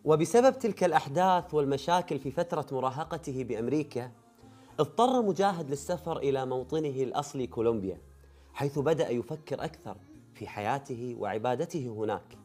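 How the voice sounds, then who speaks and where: A man's voice: mid-range voice, expressive, rapid-fire, a little mellow, faint music behind. A man hosting a documentary, asking questions and narrating the story. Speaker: host interviewing and narrating.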